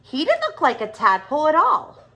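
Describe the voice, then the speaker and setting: A woman reading a children's storybook aloud like a kindergarten teacher, expressive and deliberate. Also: high voice.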